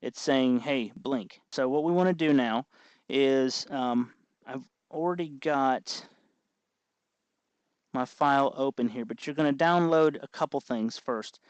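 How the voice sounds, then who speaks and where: A man hosting a hobby tutorial, explaining each step in an informal, folksy, unhurried way. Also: mid-range voice, clear, resonant tone, dry recording, dull audio. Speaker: host of a hobby electronics tutorial. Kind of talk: informal hands-on tutorial narration.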